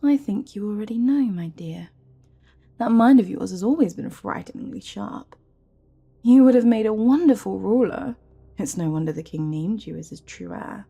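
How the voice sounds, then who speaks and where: A woman playing a scheming, smug evil royal advisor with magic in a fantasy audio drama, breathy and often near a whisper, taunting with mock courtesy. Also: medium pitch, lively intonation, dark tone. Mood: sly, amused. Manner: theatrical, mock-courteous, taunting, drawn-out phrasing.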